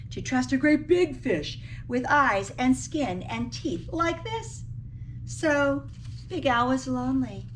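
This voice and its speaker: High-voiced woman reading a storybook, distant mic. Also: slight background noise. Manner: animated, dramatic emphasis with drawn-out key words. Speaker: teacher reading a picture book aloud. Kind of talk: expressive children's storybook read-aloud with asides to listeners.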